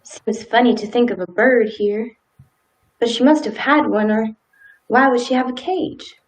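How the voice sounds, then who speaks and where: A woman playing a polite, sympathetic small-town wife, gentle and hesitant, in a live radio play. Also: fairly high pitch, a little slow, poor audio. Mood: pensive.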